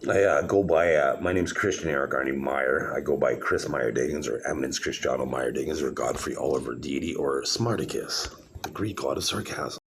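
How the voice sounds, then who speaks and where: A man's voice: rumbling low, fairly quick, a little hiss or hum. A middle-aged man rambling to the camera in a casual vlog, meandering with many fillers and restarts. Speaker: vlogger showing his own videos.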